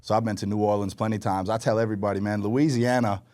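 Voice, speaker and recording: A man's voice: on the low side, fairly even intonation, fast talker, a little mellow. A man from Australia co-hosting a casual sports podcast in slangy, buddy-style talk. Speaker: podcast co-host.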